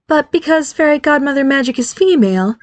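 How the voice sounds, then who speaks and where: Brief: woman doing a high bubbly fairy-godmother mom, anxious but reassuring, close dry sound. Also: expressive, brisk, no echo. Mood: chirpy nervous. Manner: eager, reassuring, nervous, bubbly.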